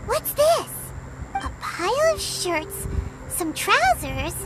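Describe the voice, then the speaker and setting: A woman voicing a small, curious, excitable childlike video game companion in a chirpy, inquisitive manner. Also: very high-pitched, slow, heavy background noise, exaggerated voice. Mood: eager, curious.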